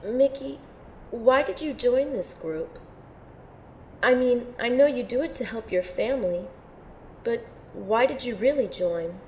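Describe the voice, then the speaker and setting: A woman playing an earnest, unsure young female recruit in an audio drama, sounding sincere, gentle and tentative. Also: on the high side, lively intonation, measured pace, a little hiss or hum, low-quality recording. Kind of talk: audio drama acting and first-person narration.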